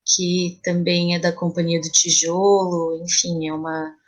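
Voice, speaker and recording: A Brazilian woman speaking reflectively as an interview guest, pausing and repeating phrases as she thinks. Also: fairly low pitch, level tone. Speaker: playwright and theatre artist, podcast guest.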